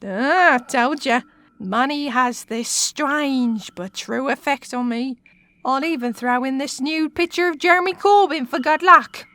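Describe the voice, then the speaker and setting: A brash English witch in a comic radio play. Her voice is high and bright, and she takes her time with teasing, slangy banter. The sound is dry and close. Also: a little slow, dry recording. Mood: sly, wheedling. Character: cheeky English witch, brash cackling jailer on the make. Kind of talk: comic radio sitcom acting.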